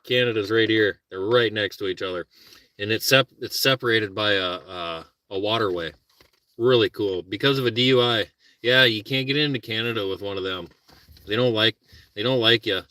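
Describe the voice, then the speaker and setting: A man's voice: fairly low pitch, moderate pace, bright, forceful tone, dry recording. A middle-aged man from Minnesota with a Minnesota accent, chatting casually and rambling to viewers from his car while car camping. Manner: rambling, self-deprecating, talks to viewers and his dog. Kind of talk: casual live chat.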